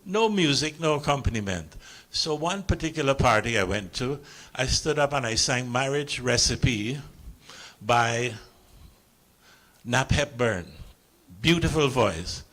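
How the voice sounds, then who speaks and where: A man's voice: mid-range voice, expressive, unhurried, a bit bright. A middle-aged man with a Caribbean English accent, a calypsonian telling a chuckling story to a live audience on stage.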